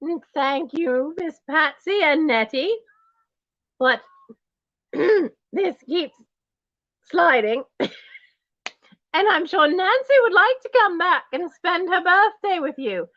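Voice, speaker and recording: Posh British grande dame in diamonds, played by a woman; slow, high, swooping and snooty, calls everyone darling. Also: animated, dry recording, lo-fi sound, heavily acted. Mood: haughty. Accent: put-on posh British. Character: snobbish posh lady in wig and diamonds. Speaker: auction host. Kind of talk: in-character livestream auction.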